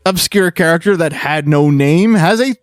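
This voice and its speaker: A man's voice: a little high, moderate pace, no room sound, studio quality. A man hosting a podcast, explaining and bantering in a casual, informal way. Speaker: podcast host.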